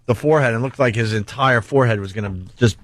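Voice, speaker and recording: A man's voice: medium pitch, quick pace, a bit bright, dry recording. Casual male radio host, close dry sound. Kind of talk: casual radio banter with callers.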